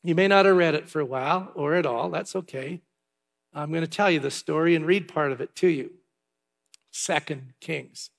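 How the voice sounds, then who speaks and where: A pastor from Canada giving church announcements and a sermon in a chatty way, with asides and filler words. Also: male voice, fairly high pitch, normal speed, gravelly. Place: large church hall. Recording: long hall reverb.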